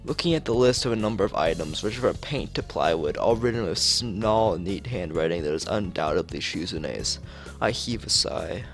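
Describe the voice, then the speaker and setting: Low-voiced man reading a game aloud. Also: animated, normal speed, soft background music. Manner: stumbles over words and rereads phrases. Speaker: streamer reading a visual novel aloud.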